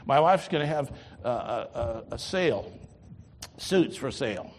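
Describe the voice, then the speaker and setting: An older man preaching a sermon as pastor, lively, swinging from loud to soft. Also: mid-range voice, a little slow, big-room sound, a little hiss or hum.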